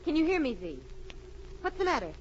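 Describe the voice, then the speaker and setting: An anxious radio-adventure heroine, urgent and theatrical. Her very high voice swings widely in pitch, heard through a dull, hissy vintage recording. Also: sing-song, fairly quick. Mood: anxious calling. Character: anxious, devoted female partner of an adventurer. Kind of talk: 1940s radio melodrama acting. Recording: muffled, noisy old radio recording.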